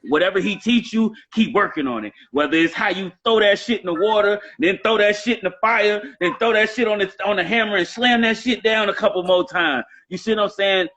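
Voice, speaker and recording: A man's voice: high-pitched, brisk, few pauses, sharp tone. A man in his late thirties with a Southern US accent, talking casually and emphatically on a live stream. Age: 30s. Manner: emphatic, repetitive, heavy swearing. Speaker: rapper on a live show. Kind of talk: casual chat.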